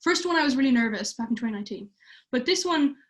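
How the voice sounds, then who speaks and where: A woman's voice: high-pitched, animated, fast talker. A teenage girl from Ireland, chatting casually on a livestream and using many fillers. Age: teens. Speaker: youth theatre participant.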